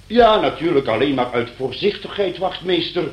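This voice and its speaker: A man's voice: on the high side, lively intonation, normal speed, slight background noise, close to an everyday voice. A man acting a fussy busybody of a neighbour in a radio play, heard in a roomy space. His voice swings up and down as he officiously explains himself, flustered and apologetic. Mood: officious, agitated. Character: fussy, busybody male neighbour phoning the police.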